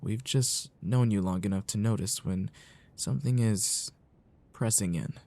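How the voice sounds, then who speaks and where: A man playing a caring, wistful childhood friend in an ASMR roleplay, talking softly with a halting, reflective delivery. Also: fairly low pitch. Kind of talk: ASMR roleplay, soft intimate talk.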